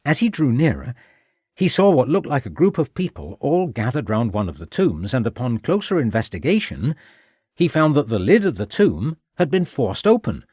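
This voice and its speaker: A man's voice: mid-range voice, huge pitch swings, moderate pace, a little mellow, breathy edge, no room sound, studio quality. A man playing a respectful young constable. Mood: earnest. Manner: deferential, earnest reporting. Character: young red-faced village constable, respectful.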